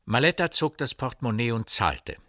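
A man's voice: mid-range voice, animated, normal speed, sharp tone, dry recording, studio quality. A man narrating a radio drama in scripted literary prose.

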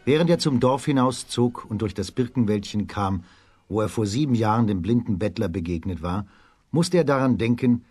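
A man narrating a children's radio play as a storyteller, in a measured storybook delivery. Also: on the low side, animated, darkish tone, breathy edge, no room sound, faint music behind.

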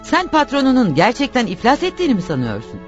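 Radio play wife: reproachful, insistent, sarcastic, very animated delivery, faint music underneath. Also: female voice, sits high, quick pace. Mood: insistent, persuading. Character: nagging, reproachful wife pushing her jobless husband. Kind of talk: radio theatre acting.

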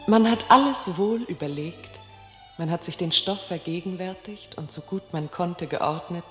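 A woman playing a solemn voice in a radio play, reciting classical reflections in a measured, formal way. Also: on the low side, natural voice. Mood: solemn, reflective. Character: solemn female voice reading a classical author's reflections. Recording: long echoing reverb, music behind. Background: music.

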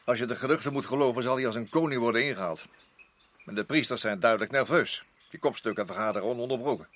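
An actor the playing a worried, hard-nosed garrison officer in a radio drama, urgent and emphatic, often repeating himself. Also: male voice, medium pitch, quick pace, a bit bright, close to an everyday voice.

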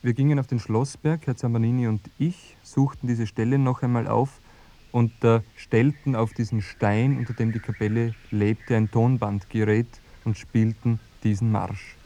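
A man playing an earnest field researcher, giving measured, factual narration in a mock-documentary radio play. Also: mid-range voice, steady tone, mellow, natural voice. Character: earnest male field researcher reporting an odd experiment.

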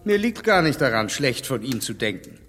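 An actor playing a stern, blunt gentleman who lectures scornfully, in a radio drama. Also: medium pitch, sing-song, normal speed, in a large hall, faint music behind. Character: stern upright gentleman, blunt moral scold.

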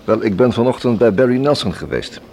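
An actor playing a polite, businesslike police inspector in a radio play, explaining things in a deliberate, friendly way. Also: male voice, mid-range voice, lively intonation, a little mellow, natural voice. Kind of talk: radio drama acting.

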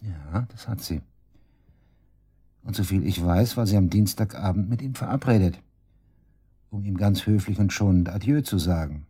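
Casting: cocky shady suspect, dark low husky voice, taunting and coarse, radio play. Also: male voice, expressive, normal speed, long pauses. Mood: cynical, musing. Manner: taunting, cynical, coarse colloquial talk. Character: cocky, sneering male murder suspect, shady club worker. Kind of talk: German radio drama acting, interrogation dialogue.

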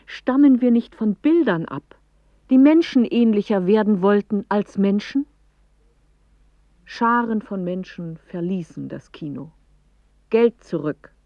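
Dreamy clever woman telling her riddly story, radio play, really slow, dry dull sound. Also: medium pitch, expressive, no echo. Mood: contemplative. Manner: measured, declamatory, lyrical phrasing. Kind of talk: poetic radio play monologue.

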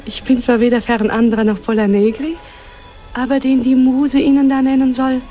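An actress playing a poised, courteous and gently reproving lady nymph in a 1940s radio play, speaking in a formal, measured way. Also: high-pitched, flat delivery, soft background music, natural voice.

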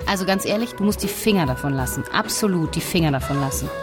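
A woman's voice: low-pitched, expressive, quick pace. An actress playing an opinionated European expat woman, conversational, emphatic and blunt, in a naturalistic radio play. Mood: insistent. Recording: music behind the voice.

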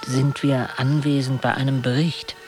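Man playing an earnest questioning stranger, mid-pitched. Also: level tone, normal speed, music in the background, lightly acted. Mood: searching, earnest. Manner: earnest, probing, short questions. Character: searching male stranger questioning a guardian at a threshold. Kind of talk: poetic radio drama dialogue.

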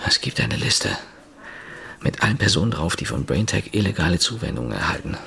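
A man's voice: deep voice, big pitch swings, a little fast, creaky, pushed and bright, exaggerated voice. An actor playing a male journalist in a radio play, talking urgently and confidingly about a secret. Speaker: journalist character.

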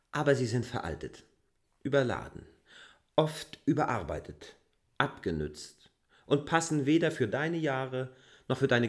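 An actor playing a self-assured, defiant land surveyor in a literary radio drama, speaking tersely and frankly. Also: male voice, medium pitch.

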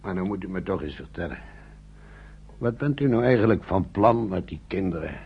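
An actor playing a cautious, kindly older countryman in a radio play, gravelly and husky, hesitant and repeating himself. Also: low voice, animated, fairly quick. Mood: curious. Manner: hesitant, repeating himself, muttering short phrases. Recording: muffled old radio drama recording.

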